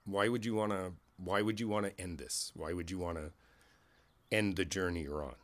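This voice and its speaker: A man playing a kindly older neighbor in an ASMR roleplay, reflective and candid, talking in a halting, conversational way and repeating his phrases. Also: low-pitched, steady tone, natural voice. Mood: somber, candid.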